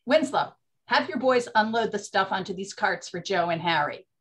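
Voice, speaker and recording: A woman's voice: medium pitch, animated, moderate pace, a bit bright. An actress playing a bossy, slangy woman bootlegger in a 1920s comedy radio play.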